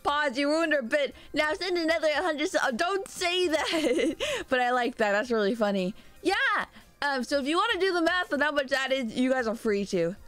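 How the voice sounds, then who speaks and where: A young adult woman streaming live, chatting excitedly with viewers and thanking them by name, speaking through a sore throat. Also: high voice, normal speed. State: sore throat, a little sick. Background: faint background music.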